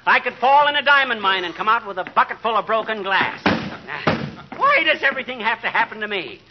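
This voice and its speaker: Old-time radio comic lead: a hot-tempered, blustering middle-aged husband with sputtering folksy cusses. Lively, bouncing delivery on a muffled vintage broadcast. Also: male voice, high-pitched, fairly quick. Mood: exasperated. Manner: sputtering, mock-swearing with folksy exclamations. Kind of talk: 1940s radio comedy acting. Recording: muffled old radio recording with faint hiss.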